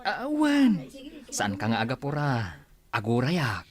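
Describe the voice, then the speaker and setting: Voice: mid-range voice, huge pitch swings, slow pace. A performer playing an easygoing village farmer man in an Ilocano radio comedy drama, hesitant and good-natured, with an Ilocano accent. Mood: teasing, mild.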